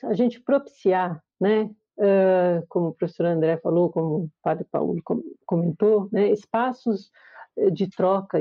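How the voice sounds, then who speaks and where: A woman's voice: lowish voice, no echo. Woman, Brazilian accent, professor, hesitant, muffled, dry. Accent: Brazilian Portuguese. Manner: hesitant, many fillers and restarts. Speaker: professor, research group coordinator. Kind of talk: panel discussion answer.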